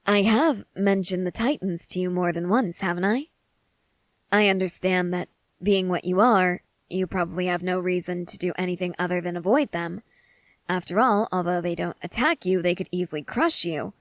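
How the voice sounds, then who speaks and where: A woman's voice: on the low side, moderate pace, dry recording, natural voice. A woman playing an eager, intensely curious researcher-soldier who rambles in precise, analytical sentences in a scripted ASMR roleplay. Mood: earnest, intrigued.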